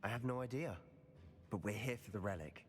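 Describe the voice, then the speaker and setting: A man's voice: on the low side, brisk, close to an everyday voice. A young man playing a determined, stubborn teenage schoolboy wizard in a video game, earnest and insistent. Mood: defiant. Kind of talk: dramatic video game voice acting. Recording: long reverb, music underneath.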